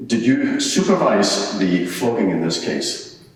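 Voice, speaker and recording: Probing lawyer, acted by a man, courteous, deliberate, terse questions, courtroom drama, distant from mic, long hall reverb. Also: fairly low pitch, very animated. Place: large courtroom in a public building. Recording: distant, very echoey large hall.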